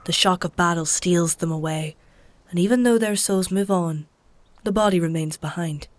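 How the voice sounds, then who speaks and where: An actress playing a weary, dry-witted healer in a dark fantasy audio drama, with a wry, coaxing, matter-of-fact manner. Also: female voice, on the low side, close to an everyday voice. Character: weary, dry-witted woman healer tending a dying stranger.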